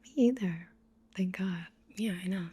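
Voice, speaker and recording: A woman with a breathy, whispery voice, improvising an ASMR roleplay as casual, teasing banter. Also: fairly low pitch, fairly even intonation, normal speed, mellow. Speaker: ASMR roleplay performer playing sisters.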